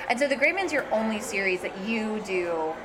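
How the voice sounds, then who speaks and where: A woman's voice: mid-range voice, expressive, a little fast, background noise. A woman hosting a casual podcast interview, responding eagerly to her guest.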